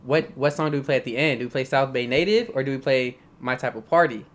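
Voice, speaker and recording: A man's voice: medium pitch, fast talker. A man in casual, joking chat with friends, hosting. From South Bay, Los Angeles. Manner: joking, loose, swears freely.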